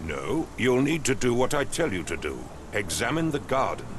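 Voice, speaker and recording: An actor playing a pompous, impatient middle-aged captain in a video game, with an English accent and clipped, condescending speech. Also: male voice, mid-range voice, sing-song, normal speed, background noise, lightly acted. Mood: impatient, irritated. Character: pompous, impatient male English captain, haughty wealthy master.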